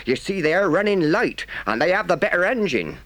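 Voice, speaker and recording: A man's voice: sits high, big pitch swings, moderate pace, fairly bright tone, no echo, voice pushed up. A man voicing a kindly but stern working-class engine driver in a storybook, folksy and emphatic. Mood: urgent. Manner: plain-spoken, emphatic, folksy. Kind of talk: storybook character voice.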